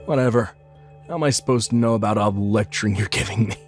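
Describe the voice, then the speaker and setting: A careless young brother, played by a man, brushing off criticism with a laugh in a somewhat low voice. His tone is dismissive and offhand, with light music under a dramatized story. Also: fast, natural voice.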